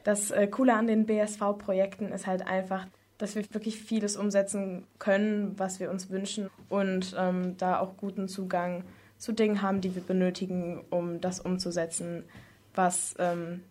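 Student representative, female, teens, medium pitch, thoughtful interviewee with frequent hesitations. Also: flat delivery, a little mellow. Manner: hesitant, with frequent filled pauses and long winding sentences. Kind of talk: spontaneous interview answers.

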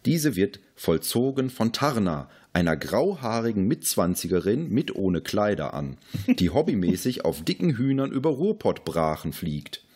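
A man with a fairly low, raspy voice. He speaks as a podcast co-host discussing film, in a style between casual chat and prepared narration. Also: very animated, normal speed, darkish tone.

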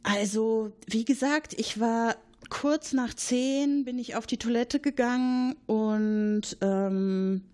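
A woman's voice: a little high, takes it slowly, hardly any pauses, darkish tone. Gossipy male base supervisor, a woman plays him. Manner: rambling, hesitant, eager to accuse.

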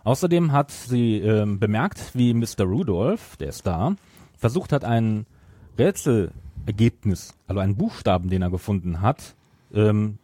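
A man's voice: lowish voice, lively intonation, unhurried, mellow, no room sound. A man hosting a podcast, retelling a story from notes and at times searching for words. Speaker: podcast host.

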